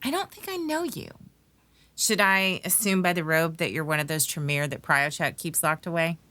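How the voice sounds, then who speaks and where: A woman's voice: low-pitched, animated, normal speed, pushed and bright, no room sound. A woman playing a brash, sociable young vampire DJ in an audio drama, sassy, casual and blunt. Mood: brash, breezy.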